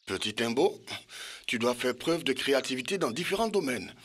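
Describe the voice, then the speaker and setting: A man voicing a kindly, amused old mentor in a French-dubbed children's cartoon, gently teasing as he gives advice. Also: medium pitch, sing-song, normal speed, husky. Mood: amused, advising. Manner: chuckling, gently teasing, advising.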